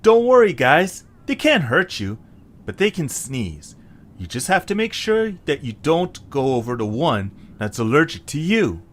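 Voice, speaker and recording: Man voicing eager young male adventurer, highish pitch, very animated, exclamatory, audio drama, faint noise. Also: a little slow. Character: excitable young male adventurer leading a stranded group. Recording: clear background hiss and noise.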